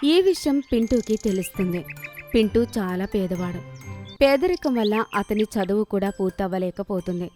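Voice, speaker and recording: A woman's voice: medium pitch, animated, normal speed, darkish tone. A woman reading scripted narration for children's moral stories. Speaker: story narrator. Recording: clear music bed behind narration.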